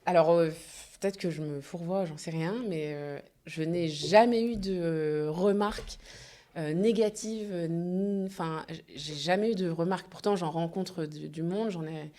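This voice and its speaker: Lowish-voiced young woman, relaxed interview guest. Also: measured pace. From France. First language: Manjak. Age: young adult. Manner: hesitant, many fillers and restarts, laughs easily. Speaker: entrepreneur guest. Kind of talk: casual interview chat.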